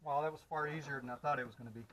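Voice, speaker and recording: A man with a mid-range voice talks through a hands-on installation as a DIY vlogger. His delivery is informal and halting, as if thinking aloud while he works. The style is that of a casual how-to video. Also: medium pitch, steady tone, noisy background.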